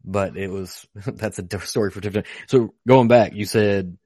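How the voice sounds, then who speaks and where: A fast-talking man from Western Kentucky with a lowish voice jokes and teases his way through casual podcast chat. His voice sounds dry and close to the mic. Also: fairly even intonation, a little mellow, no echo. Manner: quick, wry and teasing. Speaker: podcast host. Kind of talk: casual chat over whiskey.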